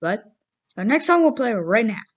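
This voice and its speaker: A woman's voice: a little high, sing-song, moderate pace. A woman playing a chatty, upbeat morning radio host who introduces songs and talks casually to listeners. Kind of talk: radio DJ patter.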